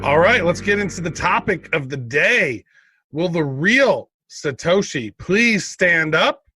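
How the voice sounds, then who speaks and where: A man's voice: on the high side, lively intonation, takes it slowly, bright, forceful tone, breathy edge, no echo, faint music behind. A man co-hosting a podcast, chatting casually and teasing his co-host. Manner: jokey, teasing, laughs easily.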